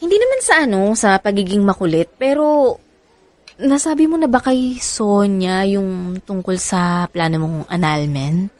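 A woman's voice: mid-range voice, expressive, a little slow, darkish tone, natural voice. A woman playing an insecure young mother in a radio drama, questioning her lover in a pleading way. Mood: pressing, doubtful. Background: background music.

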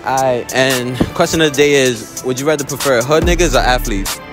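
Late-teen male co-host, low-key and teasing, mid-range voice, loud music under him. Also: a little fast. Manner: low-key, teasing, keeps mentioning himself. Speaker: street interviewer, co-host. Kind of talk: casual street interview. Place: indoor shopping mall. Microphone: handheld mic.

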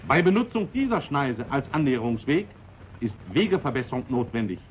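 A man's voice: medium pitch, very animated. Man narrating an old training film. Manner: measured, instructive delivery. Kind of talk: formal documentary narration. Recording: dull 1940s film soundtrack with faint hiss.

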